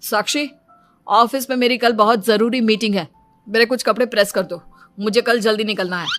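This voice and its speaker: A woman's voice: fairly high pitch, steady tone, moderate pace, a bit bright, soft background music, lightly acted. A woman voicing a demanding, complaining young husband in a moral story cartoon. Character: demanding young husband and his calmer father.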